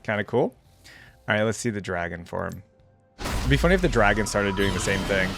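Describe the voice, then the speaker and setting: Casual man reacting over clear music. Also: fairly low pitch, lively intonation, brisk. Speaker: reaction video host. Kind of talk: casual off-the-cuff reaction commentary. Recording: music playing behind the voice.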